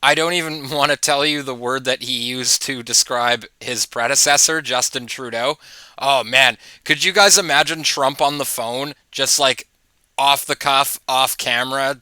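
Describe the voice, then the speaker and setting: A man delivers casual opinion commentary to his viewers in a very bright, forceful voice. He is informal and mocking, dropping in amused asides. The sound is dry and close. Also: mid-range voice, dry recording. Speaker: host of political commentary channel.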